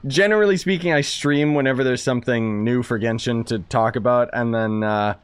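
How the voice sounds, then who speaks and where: A man's voice: medium pitch, fairly quick, clean tone, dry recording. A man chatting informally to viewers as a game streamer, rambling and thinking aloud. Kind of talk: casual live-stream commentary, chatting with viewers.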